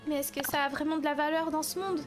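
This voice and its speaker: A woman's voice: sits high, steady tone, fast talker, music in the background. A woman improvising in-character dialogue in an online roleplay game.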